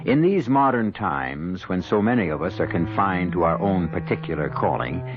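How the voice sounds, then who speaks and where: A man hosting and narrating a scripted radio drama with theatrical, deliberate phrasing. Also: deep voice, expressive. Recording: dull vintage radio recording. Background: music.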